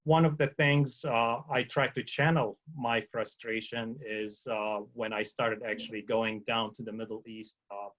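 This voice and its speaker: A man's voice: mid-range voice, level tone, a little slow, hardly any pauses, fairly bright tone. A man speaks thoughtfully on a video-call panel. He hesitates often with uh as he recalls his story. His voice sits in a middle register and the sound is slightly dull. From Syria. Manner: reflective, many hesitations with uh. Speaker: panelist, physician.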